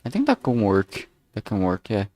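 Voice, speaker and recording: A man's voice: lowish voice, wildly swooping pitch, normal speed, darkish tone, dry recording, clean studio sound. A man chatting casually on a livestream, thinking aloud in a hesitant, musing way and often trailing off mid-thought. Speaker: streamer making a visual novel.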